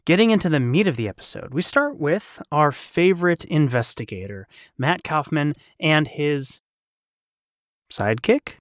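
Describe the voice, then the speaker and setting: A man's voice: on the high side, lively intonation, measured pace, no echo, clean studio sound. A man hosting a casual chat, speaking in an enthusiastic, rambling way. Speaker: podcast host.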